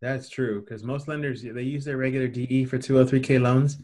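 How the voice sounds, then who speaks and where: A middle-aged American man with a medium-pitched voice presents a training webinar. He explains things informally and colloquially, in a plain-spoken manner. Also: level tone, a little fast, a little mellow.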